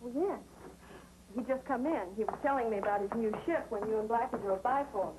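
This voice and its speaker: A woman's voice: on the high side, a little fast, background noise, lightly acted. An actress playing a worried, weary American wife and mother in a 1950s TV drama. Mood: uneasy, defensive.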